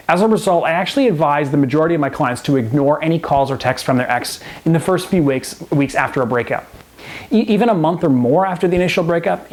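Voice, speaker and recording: A man speaking as a relationship coach and host, delivering scripted advice straight to the viewer in an instructive way. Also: a little high. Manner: instructive, direct address to the viewer. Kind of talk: scripted advice video to camera.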